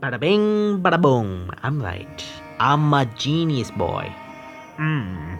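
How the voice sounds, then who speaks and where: A male streamer with reads a visual novel aloud at a medium pitch and adds his own commentary. He is halting, often restarting phrases, and faint music sits behind him. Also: big pitch swings. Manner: halting reading with stumbles and self-corrections.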